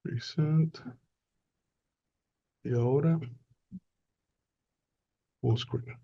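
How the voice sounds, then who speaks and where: A man, presenting a webinar in an unhurried way with frequent hesitant fillers over a video call. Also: medium pitch, creaky, highs cut off. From Puerto Rico.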